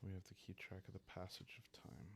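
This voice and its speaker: A man calmly narrating a solo roleplaying game in an ASMR style, soft and halting as he thinks aloud. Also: sits low, slight background noise. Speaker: host and solo player of a journaling roleplaying game.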